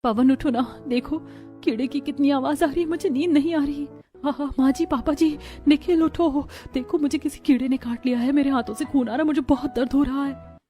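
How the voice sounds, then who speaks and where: Lady playing young cartoon bahu, mellow voice, sincere n plaintive, faint music behind. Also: high-pitched, steady tone, brisk, voice pushed up, close to an everyday voice. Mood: distressed. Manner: earnest, plaintive. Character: sincere, troubled young daughter-in-law. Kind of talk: cartoon story dialogue.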